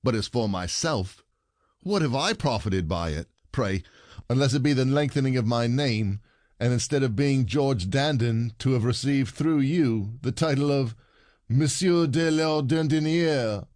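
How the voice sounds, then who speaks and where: An actor playing a bitter, sarcastic husband, a rich commoner full of complaint, in a theatrical comedy audio play. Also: male voice, medium pitch, expressive, a little slow, a little mellow, no echo, clean studio sound, natural voice.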